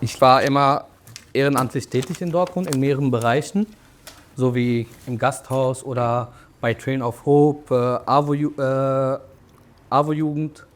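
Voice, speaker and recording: A young man from Syria, a shop owner and referee, speaking reflectively in a documentary interview with fillers and restarts. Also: medium pitch.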